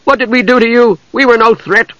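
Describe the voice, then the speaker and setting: Man putting on a high-voiced, spirited young woman. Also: lively intonation, fairly bright tone, breathy edge, dry recording, highs cut off. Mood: angry. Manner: emotional, quick to flare.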